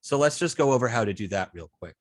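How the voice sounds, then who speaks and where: A man teaching a class informally, speaking in a halting way and often repeating words as he thinks. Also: mid-range voice, animated, no room sound, studio quality. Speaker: web design class instructor. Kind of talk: informal online teaching and student check-ins.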